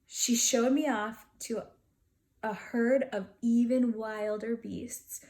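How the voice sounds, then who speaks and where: A woman's voice: on the high side, fairly even intonation, takes it slowly, a little mellow. A woman voicing a small, indignant, cunning furry beast who tells his storybook tale dramatically. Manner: dramatic, aggrieved storybook telling.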